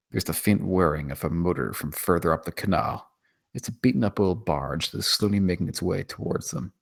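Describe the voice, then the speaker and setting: A man's voice: deep voice, gravelly, a bit bright. A man reading scene descriptions as the narrator of a screenplay table read. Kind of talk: scripted narration of stage directions.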